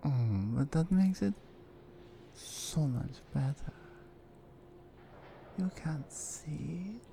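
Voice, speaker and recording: A menacing, seductive Russian mafia woman, acted by a woman for an ASMR roleplay. She talks very slowly in a mellow, breathy murmur with a Russian accent, teasing and in command. There is faint noise behind her. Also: deep voice, full character voice. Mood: smug, seductive, then whispering, seductive. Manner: slow, deliberate, dominant and teasing.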